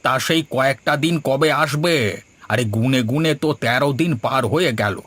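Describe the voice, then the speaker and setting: A man's voice: mid-range voice, moderate pace, a bit bright, no room sound, natural voice. A man voicing a thrifty, exasperated older father-in-law in a cartoon, grumbling and sarcastic. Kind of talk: Bengali cartoon dialogue. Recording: music under the voice. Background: music.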